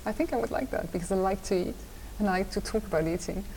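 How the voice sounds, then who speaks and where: A woman's voice: mid-range voice, fast talker, gravelly, a little mellow. Austrian-accented woman with stop-start answers. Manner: hesitant, with frequent repeated words and restarts. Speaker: designer and design teacher. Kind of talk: conversational interview answers. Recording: reverberant room with faint ambient noise.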